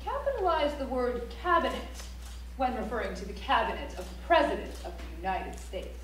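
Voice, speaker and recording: High, lively, prim rule reciter. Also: female voice, a little fast, slight background noise. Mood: prim, instructive. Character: prim instructive woman reciting style manual rules. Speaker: stage actor. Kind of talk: theatrical recitation of rules. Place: library auditorium stage, live play. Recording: echoey auditorium from far off, background ambience.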